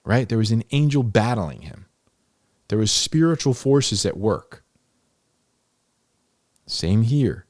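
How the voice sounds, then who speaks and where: Low-voiced man teaching informally, emphatic. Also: lively intonation, slow, long pauses, darkish tone, no room sound, studio quality. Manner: conversational and emphatic, repeats key phrases for stress. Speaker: host of a Bible-explainer series, teacher. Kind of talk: informal, unscripted Bible teaching.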